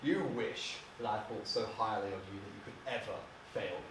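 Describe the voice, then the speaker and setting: Male actor as bitter, wounded adult son: brooding dramatic monologue, halting and emotional, echoey, away from the mic. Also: lowish voice, close to an everyday voice. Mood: cold. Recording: distant mic, echoey room, faint hiss.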